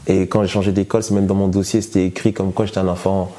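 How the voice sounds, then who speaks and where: A man's voice: deep voice, flat delivery, fast talker, mellow. A man born in France telling his personal testimony in a hesitant way, with many fillers and restarts. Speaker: guest giving testimony.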